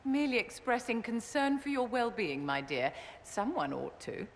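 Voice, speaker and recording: A woman's voice: fairly high pitch, sing-song, normal speed, in a large hall, a little hiss or hum. A haughty, silky noblewoman mage. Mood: condescending. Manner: condescending, silky, says "my dear".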